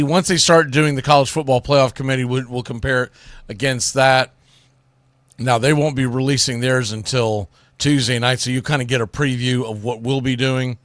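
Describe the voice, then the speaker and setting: A man's voice: mid-range voice, a bit bright, dry recording, studio quality. A man hosting a solo sports talk show, giving commentary in an informal, opinionated way.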